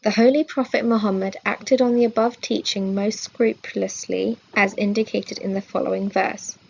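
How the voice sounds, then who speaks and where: A woman reads a religious lesson from a script with a measured, formal delivery. Her voice sits at a middling pitch with a gravelly fry in it. The recording is a little dull and lo-fi. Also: steady tone. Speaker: reader of a religious study.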